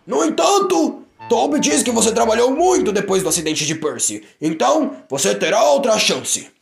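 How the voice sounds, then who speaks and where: A man's voice: very high-pitched, very animated, normal speed, gravelly, soft background music, pitched above the performer's own voice, exaggerated voice. Stern, fair older controller for a cartoon, authoritative, pompous, widely inflected man performing. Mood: approving. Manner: authoritative, emphatic.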